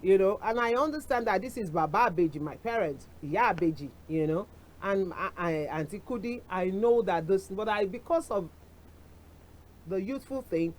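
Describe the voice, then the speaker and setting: A woman's voice: medium pitch, expressive, normal speed, poor audio. A middle-aged woman with a Nigerian accent hosting a television talk show, speaking in a chatty way with asides and dropping in Yoruba phrases. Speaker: TV show host. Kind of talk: casual chat to camera.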